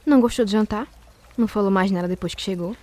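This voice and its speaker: A woman's voice: medium pitch, quick pace, warm tone, no room sound, lightly acted. A Brazilian woman playing a proud, wealthy young bride in a radio soap, formal, imperious and wounded. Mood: cool, controlled.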